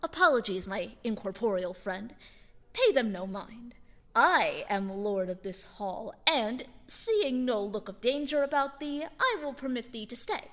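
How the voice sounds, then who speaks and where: A woman voicing a boastful, pompous boy adventurer in an old-fashioned radio drama, with archaic, grandiloquent speech that swings from loud to hushed. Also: on the high side, a little slow. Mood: grandiose. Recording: steady background noise.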